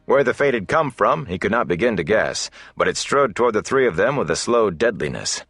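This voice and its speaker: A man with a low voice reads a fantasy novel aloud. His narration is measured and dramatic. The recording is dry, with faint music underneath. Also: sing-song, a bit bright, husky, no echo. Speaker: audiobook narrator.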